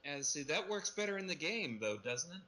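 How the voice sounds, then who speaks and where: A man's voice: fairly high pitch, very animated, fairly quick, crowd noise. A man casually discussing and recapping a film as a podcast panelist. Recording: noticeable background noise.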